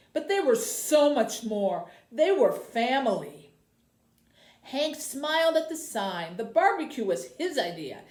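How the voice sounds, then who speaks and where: A woman with a fairly high voice speaks as a librarian addressing tweens. Her pitch moves up and down in a very animated way, while her pace stays slow and deliberate, as in a book talk or read-aloud. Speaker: youth services librarian hosting a book program.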